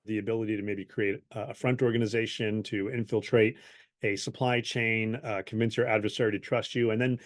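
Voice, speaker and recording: A man giving expert interview commentary, somewhat halting as he thinks his points through aloud. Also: fairly low pitch, level tone. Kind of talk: interview analysis.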